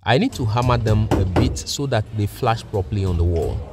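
A man's voice: lowish voice, animated, fairly quick, mellow, faint music behind. A man giving methodical, plain-spoken step-by-step narration as a technician presenting a practical tutorial.

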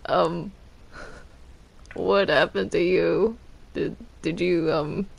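A woman improvising roleplay while playing a video game, speaking in a hesitant, halting way. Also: on the low side, fairly even intonation, vocal fry. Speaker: Minecraft roleplay player.

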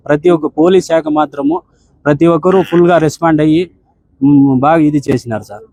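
A man's voice: a little high, a little mellow, dry recording, low-quality recording. A man with a Rayalaseema Telugu accent giving a respectful, deferential account on the phone, often addressing the listener as sir. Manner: deferential, ends sentences with "sir". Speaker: bereaved father on a phone call.

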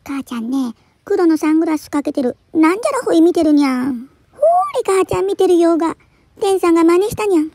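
Woman voicing a cheeky, high-pitched little sister cat. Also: sing-song, brisk, dark tone, slight background noise, exaggerated voice. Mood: pestering. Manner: cutesy baby talk, teasing, exclamatory.

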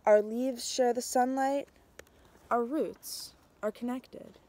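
Young woman reading a storybook, higher-pitched voice. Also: fairly even intonation, takes it slowly, dark tone. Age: young adult. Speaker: author reading own picture book aloud. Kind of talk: storybook read-aloud, narrated as a tree. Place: outdoors in snowy winter woods.

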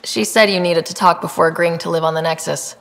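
A woman's voice: lowish voice, steady tone, quick pace, gravelly, fairly bright tone. A woman playing a confident young human explorer in a video game, with a direct, casual way of talking. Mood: casual, assured.

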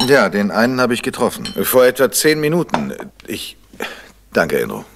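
An actor playing a composed, worried middle-aged gentleman sleuth in a crime radio play, speaking with controlled insistence. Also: mid-range voice, very animated, normal speed, vocal fry, slight background noise. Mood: brisk, serious. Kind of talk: 1970s German crime radio play acting.

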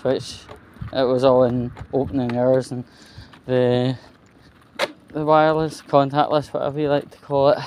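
A man's voice: medium pitch, darkish tone, a little hiss or hum. A man giving a casual, rambling walking vlog monologue, sweary and weary, recorded on a phone outdoors. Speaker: vlogger. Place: walking outdoors along a residential street. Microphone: handheld phone. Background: street ambience, passing vehicles.

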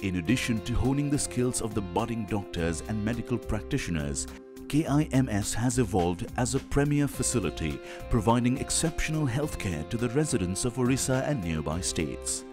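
A man's voice: medium pitch, expressive, moderate pace. A man reading a scripted promotional narration. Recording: music bed behind voice. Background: music with plucked guitar.

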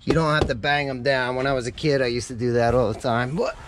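A man's voice: mid-range voice, steady tone, fast talker. Casual American man over noisy action-camera audio. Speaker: DIY vlogger. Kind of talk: casual hands-on tutorial narration. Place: home garage and truck cab. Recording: action-camera audio with clear background noise.

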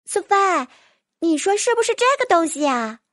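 A woman voicing a lively, easily exasperated young female sprite with pouty, girlish delivery in an audiobook. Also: very high voice, normal speed, no echo, pitched above the performer's own voice. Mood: eager. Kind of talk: audiobook character voice.

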